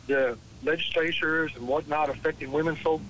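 Halting, high-voiced older American man phoning in. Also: steady tone, a bit bright. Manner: halting, repetitive, exasperated. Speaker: radio show caller. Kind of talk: casual political phone-in chat. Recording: noisy telephone call to radio.

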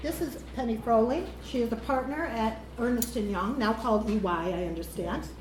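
A woman chairs a live panel, introducing each speaker in a loose, informal way, her voice pitched a little high. The hall is echoey, with noise in the background. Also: moderate pace. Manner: informal, with fillers. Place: event hall with live audience.

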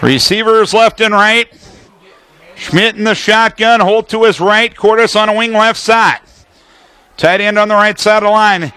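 A man with an American accent calling live football play-by-play on the radio in an animated, clipped way from a press booth. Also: sits high, unhurried, clear, resonant tone. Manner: animated, clipped phrasing, shouts on big plays.

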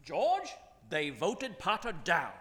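A man's voice: high voice, huge pitch swings, slow pace, exaggerated voice. A man playing a persuasive, insistent bearer of news in a 1940s-style radio play. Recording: noisy reverberant hall stage recording.

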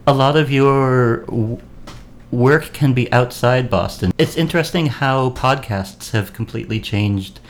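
Casting: unhurried man from Maine, rambling podcast chat, mid-pitched voice. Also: slight background noise. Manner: unhurried, rambling with fillers and laughs. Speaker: podcast guest host. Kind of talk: casual chat.

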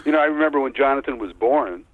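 A man's voice: medium pitch, expressive, fast talker. An older man who grew up in Chicago, a musician guest reminiscing casually in an interview, talking in a hesitant, rambling way. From Texas-born, grew up in Chicago. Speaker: guest, former band musician. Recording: tinny phone line, narrow band.

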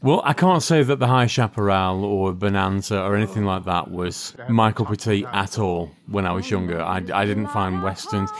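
A man co-hosting a podcast, giving wry, opinionated commentary as an episode plays. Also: lowish voice. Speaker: podcast co-host. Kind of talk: casual commentary while watching.

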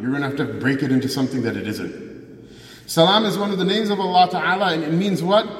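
A man's voice: on the high side, quick pace. A man delivering a Friday sermon who asks rhetorical questions and quotes scripture in Arabic. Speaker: preacher giving a Friday sermon. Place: mosque prayer hall. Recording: echoey hall, voice from far off.

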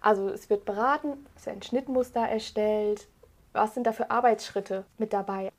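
A woman's voice: mid-range voice, fairly even intonation, moderate pace. A woman interviewer giving introductions and asking prepared questions.

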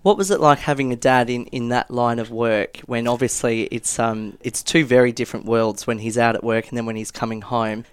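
Smooth-voiced male podcast host. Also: mid-range voice, steady tone, a little fast, hardly any pauses, no room sound. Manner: gentle, supportive questioning. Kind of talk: scripted intro then interview questions.

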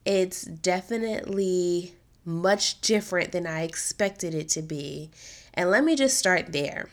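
A young adult woman hosting a solo podcast in a casual, chatty way, her voice a little raspy from allergies. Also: fairly low pitch, measured pace. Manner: chatty, upbeat, informal slang. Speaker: podcast host.

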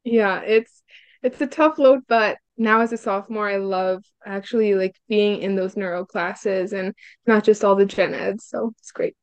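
A woman's voice: mid-range voice, steady tone, normal speed, clean tone, no room sound. A young woman from Hungary, a student guest answering casually and upbeat, with lots of "like" and "um.". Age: young adult. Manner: upbeat, frequent "like" and "um" fillers.

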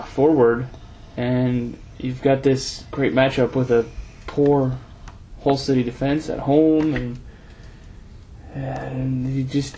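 Male co-host, mid pitch, hesitant and rambling, faint background hiss. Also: mellow. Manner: hesitant, many fillers and restarts. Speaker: podcast co-host. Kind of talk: casual chat about fantasy football.

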